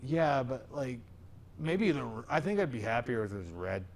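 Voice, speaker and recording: A man's voice: mid-range voice, sing-song, normal speed, gravelly. A man with a soothing voice hosting a tattoo reaction show, giving frank, opinionated critiques with casual swearing.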